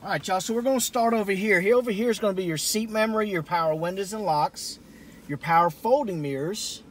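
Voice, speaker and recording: Middle-aged man, high-pitched, informal car-walkaround presenter, recorded on camera in a truck cab with some background noise. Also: a little fast. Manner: folksy, informal. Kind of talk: casual vehicle walkaround. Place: inside a pickup truck cab in a parking lot. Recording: camera audio with cabin noise.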